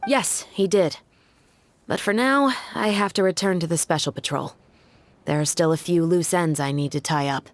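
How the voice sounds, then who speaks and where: A woman's voice: fairly low pitch, moderate pace, slight background noise, natural voice. A woman voicing a composed, businesslike female police officer in a video game. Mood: courteous, firm.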